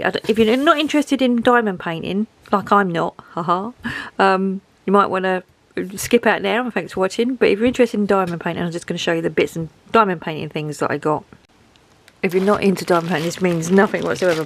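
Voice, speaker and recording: A woman's voice: mid-range voice, a little hiss or hum. A woman with a head cold and a dry throat, chatting in a rambling, self-deprecating way as she shows off a craft haul at home. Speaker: craft vlogger showing a haul. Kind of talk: casual chat to camera.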